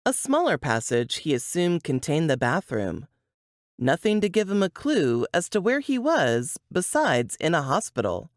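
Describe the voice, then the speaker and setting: A woman narrates fiction in a lowish voice. Her pacing is slow and measured, with highly animated, dramatic intonation. The sound is dry and close, like an audiobook. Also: no room sound.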